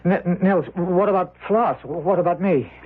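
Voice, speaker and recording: An actor playing a timid, anxious party guest in a radio drama, with hesitant, stammering speech. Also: male voice, high-pitched, moderate pace, background noise, natural voice. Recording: narrow-band old radio, faint music.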